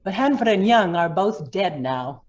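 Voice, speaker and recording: A woman's voice: medium pitch, very animated, a little slow, a little mellow, highs cut off. A woman playing a ruthless, commanding lawyer in an old-time radio drama, hard and threatening in manner. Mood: coolly menacing. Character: ruthless, commanding female lawyer blackmailing a judge.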